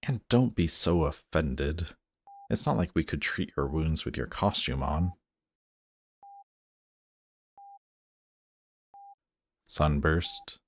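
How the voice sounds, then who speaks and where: A man's voice: very deep voice, exaggerated voice. A man playing a smug, guarded supervillain in an audio roleplay, teasing and commanding, grudgingly protective of the person he is addressing. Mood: stern, commanding. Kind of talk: scripted roleplay audio drama addressed to the listener.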